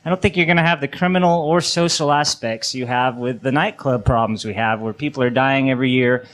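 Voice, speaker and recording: Male panelist, mid-range voice, informal and opinionated, explains haltingly. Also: medium pitch. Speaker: panel speaker on a radio talk show.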